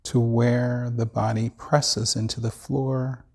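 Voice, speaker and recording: A man with a slightly creaky voice guiding a body scan meditation in a measured, soothing way with long pauses. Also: mid-range voice, flat delivery, a little mellow. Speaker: meditation teacher.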